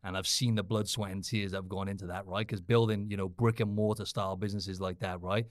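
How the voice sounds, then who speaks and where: A man's voice: sits low, steady tone, fast talker, no room sound, studio quality. A man with a Welsh accent hosting a podcast, upbeat and chummy with his guest. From Cardiff, South Wales. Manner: upbeat, chummy, says man and right a lot. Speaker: podcast host. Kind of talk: casual podcast interview.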